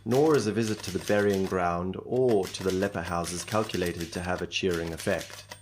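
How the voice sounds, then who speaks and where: Man as dour victorian writer, low voice, measured and literary, faint typewriter behind. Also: steady tone, a little hiss or hum, natural voice. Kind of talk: amateur radio play acting.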